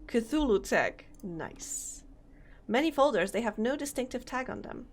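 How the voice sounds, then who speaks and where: Young woman streamer, slightly hoarse, chatty, fairly high voice, laughs a lot. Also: expressive, unhurried. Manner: chatty, reads game text aloud, laughs often. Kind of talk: casual let's-play commentary.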